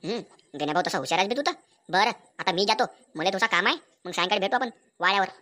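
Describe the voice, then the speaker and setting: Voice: medium pitch, animated, fast, bright, forceful tone. A performer voicing a cheeky village drinking buddy in a comic cartoon, giving teasing, coarse advice in rural Vidarbha Marathi slang. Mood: easygoing. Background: music.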